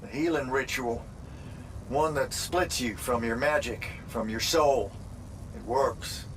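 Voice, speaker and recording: An actor playing a blunt veteran battlemage mentor in a video game, dry and colloquial in clipped phrases. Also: male voice, takes it slowly, slight background noise, close to an everyday voice, poor audio. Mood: grave, wry. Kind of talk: dramatic video game acting. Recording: loud rumbling ambience behind the voice.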